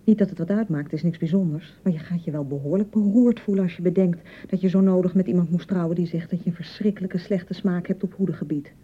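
An actress with a Dutch accent playing a young, anxious newlywed bride in a radio drama, touchy and fretful, chattering and repeating herself. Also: female voice, lowish voice, steady tone, quick pace, hardly any pauses, breathy edge, highs cut off. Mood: hurt, sarcastic. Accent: Dutch (Netherlands). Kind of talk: naturalistic radio drama acting.

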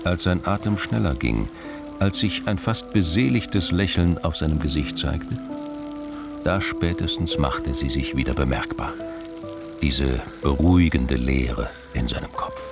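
A middle-aged man with a gravelly voice reading scripted literary crime narration. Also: a bass voice, unhurried, over loud music.

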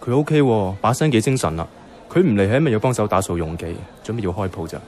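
Quick, animated young Hong Kong man. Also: lowish voice, a little hiss or hum. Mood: incredulous. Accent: Hong Kong Cantonese. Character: pragmatic young man, hesitant in love, dryly exasperated. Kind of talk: radio drama dialogue with some narration. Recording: music under the voice.

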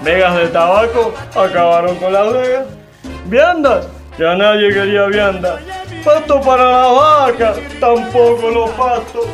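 An actor playing a folksy, indignant veteran cane cutter with a Cuban accent, colloquial and exclamatory in a radio drama. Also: male voice, high voice, a little slow, fairly bright tone. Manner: colloquial, exclamatory, vivid storytelling. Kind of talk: radio drama monologue in casual talk. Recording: faint music behind.

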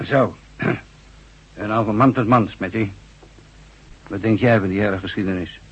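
A man's voice: on the low side, moderate pace, husky. A man acting a worried, matter-of-fact construction boss in a science fiction radio drama. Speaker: actor in a radio play. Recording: dull, noisy old radio recording.

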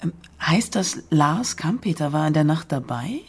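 An actress playing an inquisitive, thoughtful woman writer in a radio drama, coaxing and probing, with a creaky voice. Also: sits low, lively intonation, normal speed, full character voice. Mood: curious, coaxing. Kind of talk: naturalistic radio drama acting.

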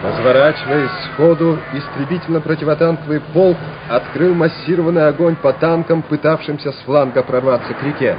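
A man's voice: fairly high pitch, brisk, hardly any pauses, background noise. Male radio drama narrator, muffled sound. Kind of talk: dramatic scripted narration of a war story. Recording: dull old radio drama recording. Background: music.

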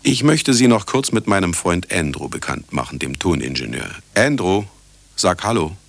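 A man's voice: low-pitched, sing-song, normal speed, gravelly, no room sound, heavily acted. An actor playing a cynical, vain older retired scientist who narrates his own story in a satirical radio play, sardonic and self-important. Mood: wry. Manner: sardonic, self-important, talking to listener and sound engineer. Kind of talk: satirical radio drama monologue.

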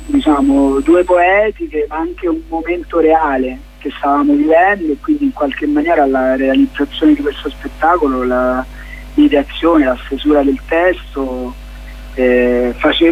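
A man giving reflective interview answers as a guest playwright, haltingly, searching for his words. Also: fairly high pitch, darkish tone, breathy edge, rough recording. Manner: halting, searching for words, many fillers and restarts.

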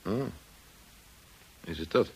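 An actor in a Dutch radio drama playing a kind, discreet and loyal estate manager, courteous and measured in his speech. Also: close to an everyday voice. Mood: polite, guarded.

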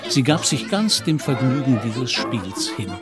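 Radio play narrator, male, medium pitch, literary prose delivery with music clearly underneath. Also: expressive, a little slow, a loud crowd.

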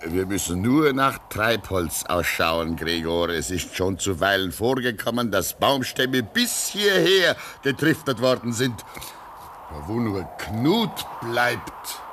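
An actor playing a pious, weary old man at a polar station in a German radio play, speaking solemnly and deliberately. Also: fairly low pitch, very animated, fairly bright tone, a little hiss or hum, exaggerated voice. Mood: matter-of-fact. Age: older.

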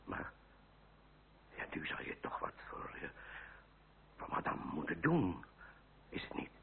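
Loyal, gentle older uncle, deep breathy murmur, earnest period radio drama, narrow humming old recording. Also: male voice, wildly swooping pitch, unhurried, heavily acted. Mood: tender, sad. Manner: hesitant, tender, earnest. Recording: old muffled radio play, faint hum.

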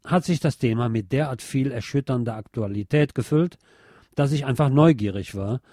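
A man's voice: medium pitch, moderate pace, warm tone, no room sound, studio quality. A man presenting a scripted radio magazine with news and commentary, phrasing things in a wry, dramatic way.

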